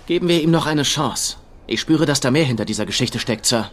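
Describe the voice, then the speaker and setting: Cocky, lively young psychic detective. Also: male voice, medium pitch, brisk. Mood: cocky, persuasive. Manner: flippant, theatrical, rambling. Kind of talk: dubbed TV comedy-drama acting.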